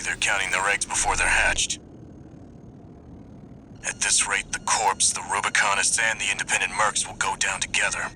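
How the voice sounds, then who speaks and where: A man's voice: lowish voice, sharp tone, slight background noise, rough recording. An actor playing a confident, friendly male mech pilot in a video game, breezy but businesslike. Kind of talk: video game voice acting, radio message.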